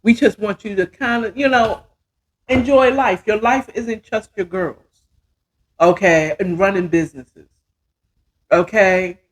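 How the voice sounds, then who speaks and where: A woman's voice: mid-range voice, measured pace, long pauses, a little hiss or hum. A woman in her fifties telling a story casually as a podcast host, chatty and emphatic, often checking in with the listener. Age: 50s.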